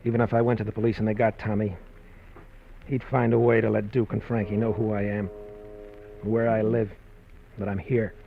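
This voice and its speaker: An actor playing a cornered young husband in a 1950s radio drama, hesitant and stammering. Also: male voice, on the low side, little pitch movement, a little fast, creaky, pauses often. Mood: urgent. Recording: muffled 1950s radio broadcast with faint hiss.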